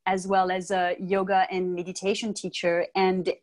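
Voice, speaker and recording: Calm middle-aged woman guest on video call. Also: mid-range voice. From United States, raised in Martinique. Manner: calm, thoughtful, measured explaining. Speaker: guest, Ayurvedic practitioner. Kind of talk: interview answers. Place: home room with bookshelves. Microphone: earphone mic on video call.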